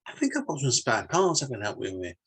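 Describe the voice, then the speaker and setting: A man's voice: mid-range voice, sing-song, brisk, fairly bright tone, slight background noise, voice pushed up. A man voicing an ordinary, laid-back man who sounds helpful but cool in a short story. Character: casual ordinary man, outwardly helpful, coolly treacherous.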